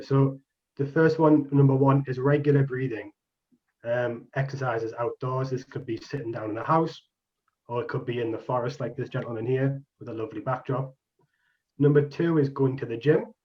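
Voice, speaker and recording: A man's voice: medium pitch, steady tone, normal speed. Young Geordie man, casual researcher presenting online, ums a lot; dull lo-fi call audio. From Newcastle, England. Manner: casual, chatty explaining with frequent ums. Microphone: video call. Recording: muffled video call audio.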